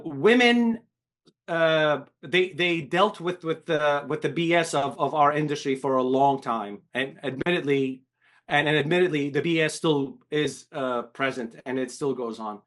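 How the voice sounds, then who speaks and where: Middle-aged man from Syria, animation director type; candid and emphatic, full of repeats, voice sits a bit high. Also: fairly bright tone. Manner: emphatic, repetitive restarts, swears. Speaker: animation director, podcast guest. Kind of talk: candid interview talk.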